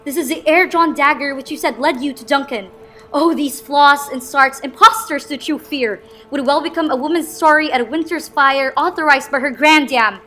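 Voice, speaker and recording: A woman's voice: sits high, moderate pace, sharp tone. A woman playing a commanding, scornful queen in a dramatic reading of Shakespeare. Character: commanding, scornful queen covering for her husband.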